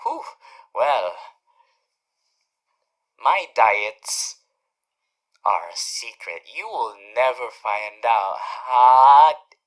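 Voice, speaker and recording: Male radio demon, doting, teasing, courtly, theatrical, unhurried, wildly varied pitch, ASMR character roleplay. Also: sing-song. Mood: playful, doting. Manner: theatrical, courtly, drawn-out emphatic phrasing with sudden swoops.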